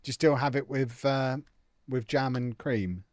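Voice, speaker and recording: A man's voice: medium pitch, a little slow, a little mellow, no room sound, clean studio sound. A young man chatting casually and jokily on a live stream, answering viewers' comments as he plays a football management game.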